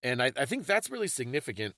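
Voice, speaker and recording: Higher-voiced man, scholarly explainer. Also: very animated, fast talker, sharp tone, no echo, clean studio sound. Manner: informative, scholarly. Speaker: podcast host explaining folklore. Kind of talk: conversational explanation.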